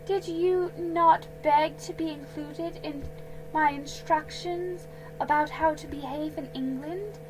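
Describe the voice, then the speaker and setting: A woman voicing a haughty, grating aristocratic lady who speaks slowly and pompously in a high, loud voice. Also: fairly even intonation, over music, poor audio. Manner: pompous, slow and drawn out, shrill.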